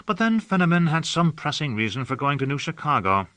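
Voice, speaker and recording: Guy doing a high airy effete dude, swoopy and gossipy, bright dry sound. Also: very animated, brisk, no room sound. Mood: airy, lilting. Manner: lilting, affected, drawling delivery. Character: airy languid male citizen, effete and gossipy.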